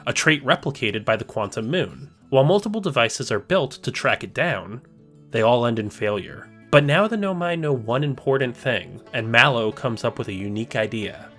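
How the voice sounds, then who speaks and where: Male narrator for a scripted essay, measured and explanatory, mid-pitched voice, faint music bed. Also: lively intonation, a bit bright.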